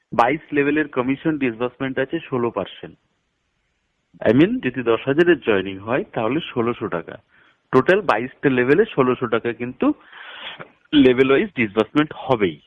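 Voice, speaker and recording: Male promoter, animated mid-range voice, instructional and emphatic, dull lo-fi phone-call sound. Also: mid-range voice. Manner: instructional, step-by-step, emphatic with figures. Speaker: network marketing promoter. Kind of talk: explaining a business plan over a phone call.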